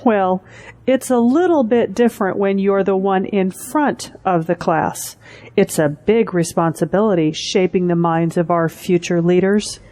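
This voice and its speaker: A woman playing a seasoned school principal in an audio drama, formal, measured and authoritative. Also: medium pitch, warm tone, close to an everyday voice. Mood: businesslike.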